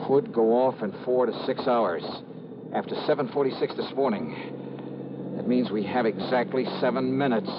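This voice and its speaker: An American actor playing a hard-boiled insurance investigator in a golden age radio drama, speaking in clipped phrases with dramatic pauses. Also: male voice, mid-range voice, lightly acted. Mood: grave, urgent. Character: male freelance insurance investigator, hard-boiled, self-critical, urgent. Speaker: lead actor. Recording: muffled vintage radio, loud noise.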